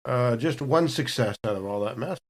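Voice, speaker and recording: A man's voice: mid-range voice, animated, normal speed, background noise. A man playing a tabletop roleplaying game, speaking casually about what his character does.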